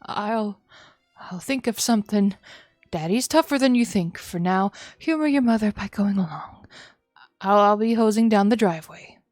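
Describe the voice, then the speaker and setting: Lady doing a tense game dad, low key, stammers a bit, trying to sound reassuring, dry audio. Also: moderate pace, darkish tone, no echo, soft background music, close to an everyday voice. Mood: uneasy reassurance. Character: terse, uneasy father, stern and falsely reassuring.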